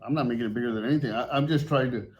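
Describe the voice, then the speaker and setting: A man, a police chief, questioning calmly and measuredly while stammering often. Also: mid-range voice, very fast, highs cut off. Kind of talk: calm questioning.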